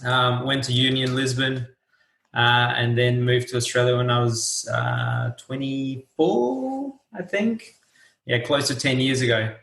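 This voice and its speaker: A man's voice: mid-range voice, a little slow, a bit bright. A man in his thirties from Portugal, a native Portuguese speaker, chatting easily as an interview guest. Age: 30s. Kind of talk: casual interview chat. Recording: roomy echo.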